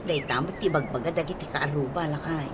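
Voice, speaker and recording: An actress playing a practical, chiding village wife in an Ilocano radio comedy drama, with an Ilocano accent and a questioning, conversational delivery. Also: female voice, sits low, a little fast, close to an everyday voice. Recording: noisy radio drama with ambience.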